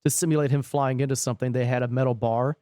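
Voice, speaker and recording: A man's voice: medium pitch, steady tone, fast, mellow, no echo, clean studio sound. A man co-hosting a podcast, chatting casually. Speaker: podcast co-host.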